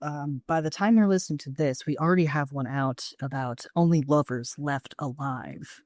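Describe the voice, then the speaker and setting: A woman's voice: deep voice, moderate pace, a little mellow, dry recording, studio quality. An American woman co-hosting a podcast in casual, comedic chat with an irreverent, slangy way of talking. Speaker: podcast co-host.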